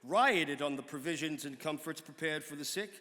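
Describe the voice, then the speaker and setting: A man giving a measured, formal dramatic reading of an old historical pamphlet. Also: a little high, sharp tone. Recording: long hall reverb.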